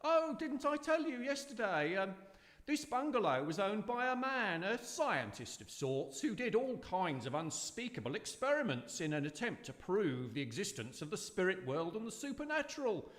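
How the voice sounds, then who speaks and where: A man's voice: sits high, big pitch swings, normal speed, a bit bright. A man playing a fussy, posh, cheerfully sinister estate agent in a live radio drama, hesitant and chuckling. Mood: gleefully sinister. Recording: long hall reverb of live stage.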